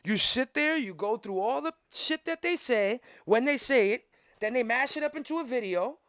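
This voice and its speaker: A man's voice: very high voice, expressive, moderate pace, fairly bright tone, clean tone, dry recording, studio quality. An American man hosting a podcast, ranting casually about politics in an argumentative, emphatic way with heavy slang and swearing. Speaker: podcast host.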